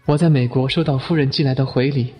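A man's voice: medium pitch, steady tone, fast, muffled recording, close to an everyday voice. Guy playing courteous attentive businessman, mid voice, echoey radio drama, faint music. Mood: polite, attentive. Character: smooth, polite younger brother, attentive company man. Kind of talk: Chinese radio drama acting. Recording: long reverb, faint music underneath.

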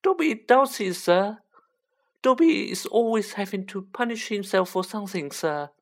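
A woman voicing a small male house elf, high-pitched and squeaky, servile and overwrought, in an audiobook. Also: animated, normal speed, natural voice. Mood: anguished, resigned. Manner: deferential, overwrought, speaks of himself in third person.

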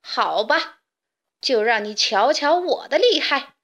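A woman voicing a sly, bullying big bad wolf who calls out loudly to coax and threaten. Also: high-pitched, expressive, slow, heavily acted. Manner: loud coaxing then threatening.

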